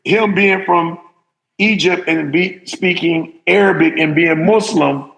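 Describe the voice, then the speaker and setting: A man hosting a panel talk, retelling conversations in a lively, animated way. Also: on the high side, slow pace, creaky, low-quality recording. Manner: animated storytelling, acts out quotes. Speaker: podcast host. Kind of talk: casual panel chat.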